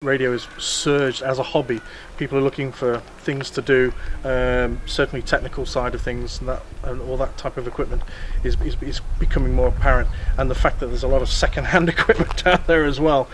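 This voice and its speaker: A man's voice: medium pitch, fairly even intonation, a little fast, a bit bright, a little hiss or hum. A man talking off the cuff as a radio hobbyist in an interview. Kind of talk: unscripted interview.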